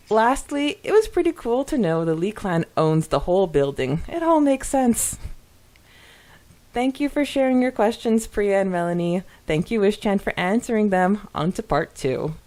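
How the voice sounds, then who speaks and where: A woman co-hosting a podcast in casual fan discussion, animated and repeating words for emphasis. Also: medium pitch, measured pace. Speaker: podcast co-host.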